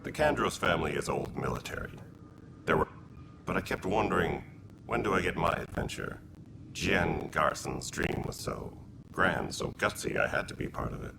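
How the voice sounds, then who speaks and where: An actor playing a gruff, dutiful alien militia leader in a video game, speaking with blunt military terseness in a gravelly voice. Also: male voice, sing-song, normal speed, heavy background noise, full character voice. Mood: candid, reflective. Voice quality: gravelly, very deep.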